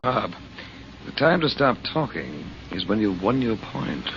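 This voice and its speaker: An actor playing a calm, authoritative adventurer in a 1940s radio drama, measured and admonishing. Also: male voice, on the low side, full character voice. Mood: calm, firm. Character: calm, authoritative adult man, reasoning adventurer hero. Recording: muffled, noisy old radio recording.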